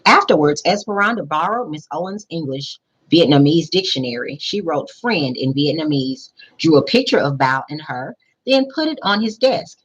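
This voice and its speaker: A woman reads a picture book aloud for children in a low, husky voice. Her pitch moves around in a lively, expressive way. She sounds like a principal at storytime, stopping to explain and to ask questions. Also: highs cut off. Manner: pauses to explain and question listeners. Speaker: school principal reading to children.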